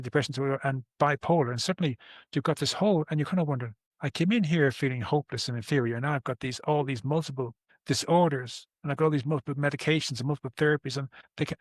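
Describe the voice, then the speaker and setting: Fast-talking older Irish man. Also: mid-range voice, no room sound, clean studio sound. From Ireland. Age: 60s. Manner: earnest, repeats and restarts words, gives everyday examples. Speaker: therapist. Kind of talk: conversational interview, explaining his approach.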